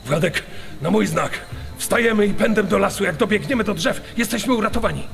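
Man acting commanding outlaw, urgent, high voice, reverberant, true crime drama. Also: a little fast, creaky, a bit bright, heavily acted. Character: male bandit urgently rallying his accomplice to flee. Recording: long reverb, music underneath.

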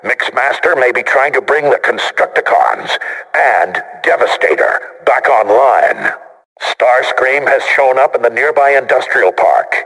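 A man voicing a noble, grave robot commander in a video game, solemn, commanding and measured. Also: fairly low pitch, gravelly, pushed and bright, breathy edge, heavily acted. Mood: urgent, commanding.

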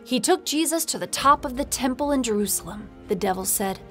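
A woman's voice: a little high, animated, a little fast, fairly bright tone. A woman reading scripted narration for a children's Bible story in short, simple sentences. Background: music.

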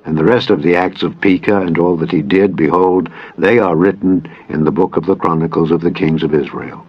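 Low male narrator doing solemn bible reading, slow careful phrases, kinda muffled lo-fi sound. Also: fairly even intonation. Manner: solemn, measured, deliberate phrasing. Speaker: audiobook narrator of scripture. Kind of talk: dramatized scripted Bible narration. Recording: dull band-limited audio with music behind. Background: background music.

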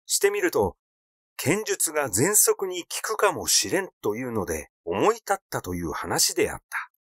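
A man reading literary fiction aloud as a narrator, in a measured storytelling delivery. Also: mid-range voice, sing-song, fairly bright tone, no room sound, studio quality. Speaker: audiobook narrator.

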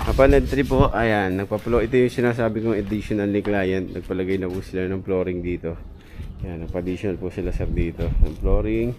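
A man in his thirties, a contractor, chattily walking viewers through a renovated house, filming himself with a handheld camera. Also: sits low. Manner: chatty, points things out with repeated fillers. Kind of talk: casual walkthrough vlog. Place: outdoors on a street and inside an empty house. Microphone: handheld action camera.

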